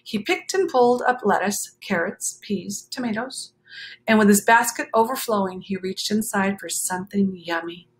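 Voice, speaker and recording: A woman reading a children's picture book aloud expressively, with dramatic drawn-out phrasing and chatty asides. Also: medium pitch, low-quality recording. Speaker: children's story reader.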